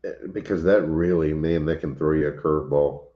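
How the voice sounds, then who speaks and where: Cajun homestead host: very low, easygoing voice in a roomy, muffled recording. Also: male voice, fairly even intonation, fairly quick. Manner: easygoing, chuckles often, occasional coughs. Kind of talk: casual livestream chat. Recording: echoey room, dull lo-fi sound.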